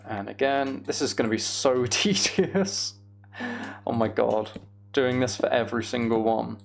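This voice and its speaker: A man streams a game with a medium-pitched voice, talking casually to his viewers. He often mutters through what he is doing step by step. There is noticeable noise behind him, with keyboard typing and clicks. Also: a little slow, vocal fry. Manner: muttering step-by-step self-narration of tasks. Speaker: livestream host. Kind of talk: casual live gaming commentary, chatting with viewers. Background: computer keyboard typing and clicking.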